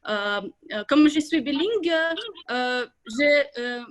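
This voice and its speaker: Hesitant high-voiced woman. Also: lively intonation, takes it slowly. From Ukraine. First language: Russian and Ukrainian. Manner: hesitant, many filler sounds, restarts words. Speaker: club member giving a speech. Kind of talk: hesitant prepared speech.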